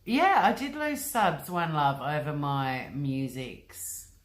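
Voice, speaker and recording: A woman's voice: low-pitched, very animated, slow pace. A woman hosting a casual livestream from home, reading out viewer comments and rambling chattily with lots of ums and likes. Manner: chatty, rambling, often says um and like. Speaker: livestream host.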